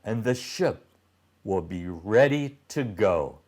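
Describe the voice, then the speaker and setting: A man's voice: lowish voice, lively intonation, takes it slowly, darkish tone. A man voicing a friendly, reassuring companion in a children's storybook, explaining things simply.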